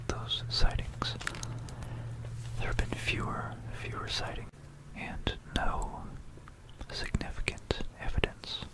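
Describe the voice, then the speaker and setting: An ASMR creator whispering about history in a hushed, rambling, musing way, close into a stereo microphone. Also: poor audio. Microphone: close stereo binaural microphone.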